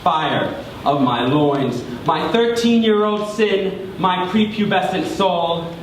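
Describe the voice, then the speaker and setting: High-voiced young man performing poetry, very echoey. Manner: dramatic, deliberate phrasing with drawn-out emphasis. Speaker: spoken word poet performing on stage. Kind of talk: theatrical spoken word poetry performance. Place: large room before a live audience. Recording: echoey hall, distant mic, clear background noise.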